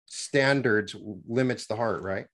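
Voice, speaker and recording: A middle-aged man hosting an interview over a video call from his home office, wearing a headset and talking in a halting way with many restarts. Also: medium pitch, fairly bright tone. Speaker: podcast host. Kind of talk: conversational interview.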